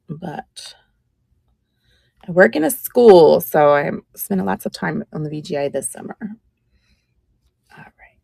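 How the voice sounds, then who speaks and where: Slow, gravelly-voiced woman host. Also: fairly low pitch, dry recording. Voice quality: scratchy. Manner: slow, chatty, drops to soft whispers while showing pieces. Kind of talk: casual live-stream selling chat.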